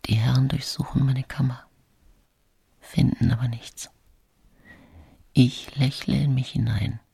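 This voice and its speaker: Breathy, whispering guilty woman. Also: a bass voice, mellow, no echo, heavily acted. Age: middle-aged. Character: guilty 43-year-old 19th-century woman narrating her downfall, furtive. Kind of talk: radio play monologue.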